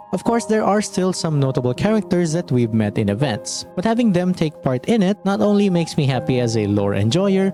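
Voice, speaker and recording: A man's voice: medium pitch, warm tone. A man narrating a scripted game lore video essay in a conversational, opinionated way. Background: background music.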